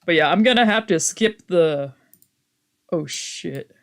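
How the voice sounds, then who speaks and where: A casual game streamer with a mid-pitched voice. They read story text aloud and break off into loose, sweary commentary and exclaimed reactions. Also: animated, takes it slowly, creaky. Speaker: streamer doing a let's play.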